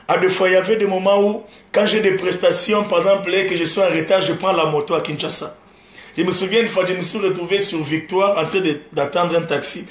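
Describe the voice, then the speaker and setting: A man's voice: high voice, fairly even intonation, a little fast, echoey room. A man giving a testimony sermon, repeating phrases in a rising preaching cadence and slipping into Lingala. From Democratic Republic of the Congo. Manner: repetitive preaching cadence with laughter, mixing French and Lingala. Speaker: gospel singer preaching. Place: live-streamed church setting.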